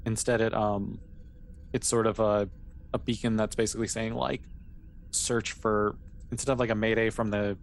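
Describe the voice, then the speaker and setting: An easygoing game master narrates a science-fiction tabletop game in a middling pitch. The phrasing is casual and a little hesitant, as if made up on the spot. There is noticeable noise behind the voice. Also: little pitch movement, normal speed.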